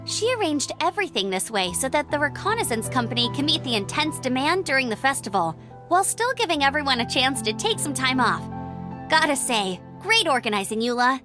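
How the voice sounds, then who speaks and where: A woman's voice: high voice, very animated, a little fast. A woman playing an upbeat, chatty young female scout in a video game, bubbly and informal. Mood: cheerful, admiring. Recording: background music.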